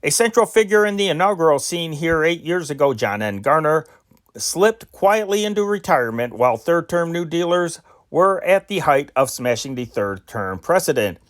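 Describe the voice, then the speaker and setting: A man's voice: on the high side, animated. Amateur man reading old news. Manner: measured, unpolished reading with occasional slips. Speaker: news reader. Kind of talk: reading old newspaper stories aloud.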